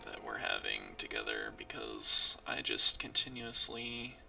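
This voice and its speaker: Hoarse man mumbling through a game. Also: very deep voice, wildly swooping pitch, unhurried, sharp tone, distant mic, highs cut off, a little hiss or hum. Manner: hoarse, mumbling, often half-whispered. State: losing his voice from illness. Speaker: streamer playing a game. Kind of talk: casual let's-play commentary.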